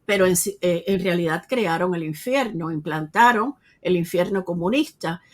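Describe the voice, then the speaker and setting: Earnest woman, halting speech. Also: on the low side. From Cuba. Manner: earnest, frequent hesitations and restarts. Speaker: interview guest. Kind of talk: conversational interview answer.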